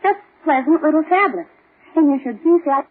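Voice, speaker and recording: A woman's voice: high voice, lively intonation, brisk. An actress playing a chatty, puzzled young woman in an old-time radio commercial. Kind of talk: 1940s radio commercial acting. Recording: tinny old radio broadcast.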